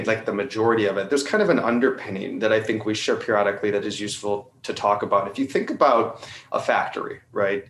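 A man giving an articulate, conversational explanation as an interview guest, often checking his points with a quick tag question. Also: lowish voice, fairly bright tone, a little hiss or hum. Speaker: company representative being interviewed. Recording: roomy, echoing sound.

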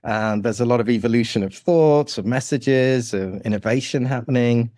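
Podcast host: middle-aged man, medium pitch, introducing and interviewing, recorded dry. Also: moderate pace, mellow, dry recording.

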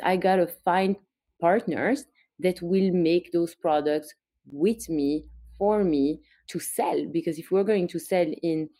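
A woman's voice: lowish voice, warm tone, dry recording. A woman from Belgium with a Belgian accent, a brand founder telling her story as a guest in a podcast interview, chatty with small restarts.

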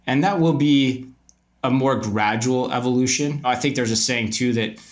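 A man's voice: mid-range voice, normal speed. A man speaking conversationally as a podcast guest, giving emphatic opinions and posing rhetorical questions as he explains retail business. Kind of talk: conversational interview answers.

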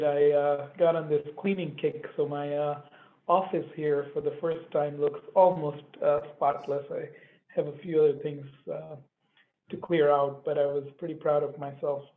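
Easygoing guy teasing, a bit high, sounds like a phone line in a roomy space. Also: flat delivery, moderate pace. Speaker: company CEO, podcast co-host. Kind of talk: casual chat. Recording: tinny phone-like echoey line.

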